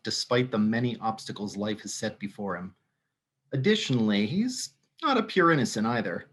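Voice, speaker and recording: A man delivers a scripted introduction as a playwright presenting his own work. He speaks at a medium pitch in an articulate, theatrical, rather wordy way.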